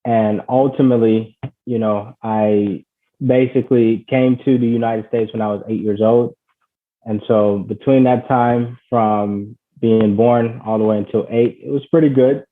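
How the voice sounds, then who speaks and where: A man's voice: medium pitch, steady tone, unhurried. A man from Ghana, a guest on a call, telling his life story in an earnest, conversational way, full of "you know" and "basically.". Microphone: call audio. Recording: tinny phone-like call audio.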